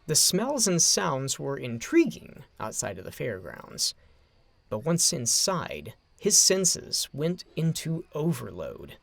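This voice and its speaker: A man narrating a scripted story in a measured, dramatic way, with deliberate phrasing. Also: fairly high pitch, huge pitch swings, vocal fry, no echo.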